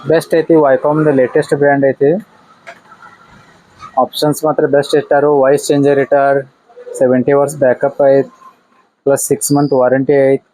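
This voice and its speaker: A man's voice: mid-range voice, little pitch movement, normal speed, pauses often, dark tone, dry recording, low-quality recording. A man with a North Karnataka accent giving a casual product unboxing and review, in a repetitive way with short exclamations. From Karnataka, India.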